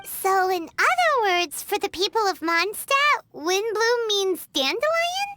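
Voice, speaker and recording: A woman voicing a tiny, cheerful childlike fairy companion in a video game, squeaky, eager and dramatic. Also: unhurried, slight background noise, heavily acted. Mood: curious. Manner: refers to herself in third person, expressive. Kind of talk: video game character acting.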